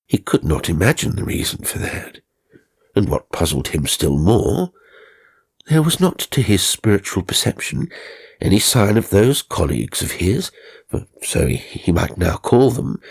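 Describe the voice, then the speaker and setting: A male audiobook narrator tells a ghost story with measured theatricality. His voice is low and gravelly with a husky grain, and his intonation is richly varied. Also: darkish tone.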